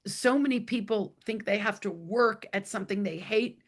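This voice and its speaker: A woman with a hoarse voice, speaking as an interview guest in a plain, emphatic, conversational way. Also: mid-range voice, normal speed. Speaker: interview guest, author and executive coach.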